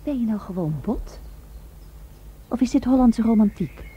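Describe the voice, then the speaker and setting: A woman's voice: on the high side, animated, normal speed, mellow, lo-fi sound, a little hiss or hum, lightly acted. An actress playing a teasing, sensual young Japanese woman in a Dutch radio drama, intimate and playful. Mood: coy.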